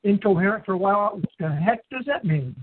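A man's voice: sits high, expressive, fairly quick, breathy edge, no room sound. A man co-hosting a casual diving talk show, blunt and incredulous, in a folksy way of speaking. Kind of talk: casual opinionated discussion. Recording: dry, tinny phone-like sound.

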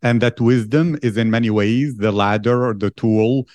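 A man's voice: medium pitch, normal speed, a little mellow, no room sound. A man hosting an interview, summarizing his guest's answers and asking thoughtful, probing questions. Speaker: podcast host.

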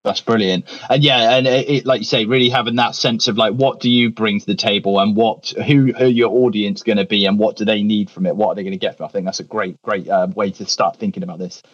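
A man co-hosting a podcast, quick and affirming, over a muffled remote call. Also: fairly low pitch, fairly even intonation, no room sound. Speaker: podcast producer and co-host. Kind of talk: casual podcast chat.

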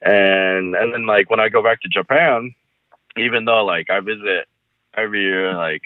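A young man from the United States answers interview questions over the phone in a laid-back, frank way, full of fillers. His voice is low. The call comes through as a tinny, narrow phone line. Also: normal speed, no echo.

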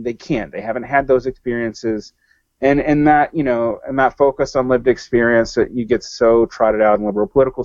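A man's voice: medium pitch, darkish tone, dry recording. Male guest, rambling and restarting, dry muffled line. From American South. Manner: rambling, many fillers and restarts. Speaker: podcast guest. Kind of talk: casual discussion.

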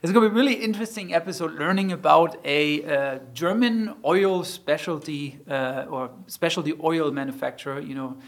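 A man's voice: fairly high pitch, normal speed, hardly any pauses. A man hosting a business podcast interview, speaking casually with frequent fillers and encouraging affirmations. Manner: hesitant, many fillers and back-channel affirmations. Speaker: podcast host.

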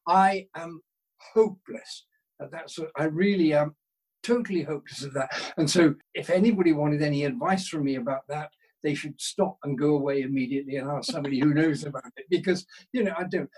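Older guy getting interviewed, husky voice on the high side, humble, rambles and rephrases a bit. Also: brisk, a little mellow, low-quality recording. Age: 60s or older. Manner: self-deprecating, hesitant, thoughtful, rephrasing as he goes. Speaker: interview guest, writer. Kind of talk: casual conversational interview.

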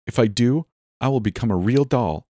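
A man's voice: mid-range voice, normal speed, mellow, no room sound, voice pushed up, natural voice. A man voicing a creepy, petulant little girl who controls people like puppets, with a whiny, sing-song manner. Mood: commanding.

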